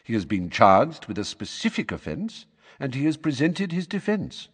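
A man voicing a calm, courteous old male wizard who speaks in measured, polite sentences. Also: mid-range voice, breathy edge, voice pushed up. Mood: cool. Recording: long reverb.

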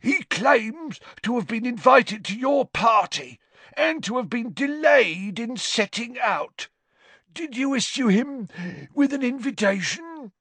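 A man's voice: extremely high pitch, expressive, slow, a bit bright, breathy edge, no room sound, pitched above the performer's own voice, heavily acted. A man voicing a spiteful old male caretaker with a wheezy, whiny voice and nasty glee. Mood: gleeful.

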